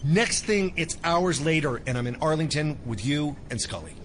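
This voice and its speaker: A man's voice: on the high side, animated, normal speed, slight background noise, natural voice. A man playing a confused, exasperated captive ex-agent defending himself in an audio drama. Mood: exasperated, insistent. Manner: insistent, exasperated.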